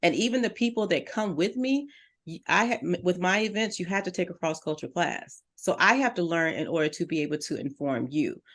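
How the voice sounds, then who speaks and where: A woman's voice: on the low side, brisk. A middle-aged woman, a business coach on a talk show, giving direct and encouraging advice to listeners. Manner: earnest, direct and encouraging, addresses listeners as "you".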